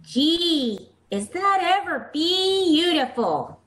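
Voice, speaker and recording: A woman's voice: very high voice, sing-song, very slow, a bit bright, exaggerated voice. A woman playing an eager, inquisitive little neighborhood girl who gushes in childlike slang, in old-time radio comedy style. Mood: awed, admiring. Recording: roomy online call recording.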